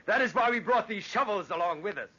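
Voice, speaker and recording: A man's voice: sits high, animated, quick pace, bright, forceful tone, breathy edge, heavily acted. An actor in old-time radio drama playing a respectful workman who informs on others in an ominous, insinuating way. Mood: ominous, hushed. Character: conspiratorial workman, ominous and respectful tale-bearer. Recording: dull, lo-fi old radio recording.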